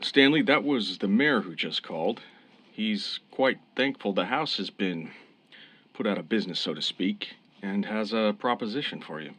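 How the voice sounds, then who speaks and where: An actor playing a calm, fair-minded police officer in a radio crime drama, measured and authoritative. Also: male voice, lowish voice, slight background noise. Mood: authoritative, deferential. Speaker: police interrogator. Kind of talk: old-time radio crime drama acting.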